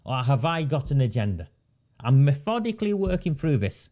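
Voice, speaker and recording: Insistent man, dark-toned. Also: medium pitch, big pitch swings, moderate pace. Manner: methodical and insistent, repeating key points, rhetorical questions. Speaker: local campaigner and commentator. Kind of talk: political commentary monologue.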